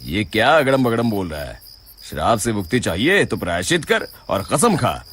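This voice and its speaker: A man playing a shrewd, wealthy shop boss in a Hindi moral-story cartoon, with a measured, theatrical way of talking. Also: on the low side, very animated, a bit bright, breathy edge, exaggerated voice. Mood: knowing, stern.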